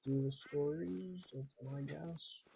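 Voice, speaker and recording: A man's voice: a little high, steady tone, takes it slowly, creaky, noisy background. A man giving casual, rambling live commentary as he streams a game.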